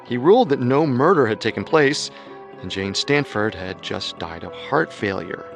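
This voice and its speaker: A man narrating a true-crime story in a measured, scripted storytelling manner. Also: mid-range voice, lively intonation, vocal fry, soft background music.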